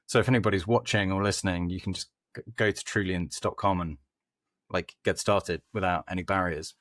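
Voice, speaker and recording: A man speaking as a podcast guest, explaining things informally in conversational answers with hesitant pauses. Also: sits low, fairly even intonation, fairly bright tone, dry recording, studio quality. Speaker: podcast guest, company head of growth.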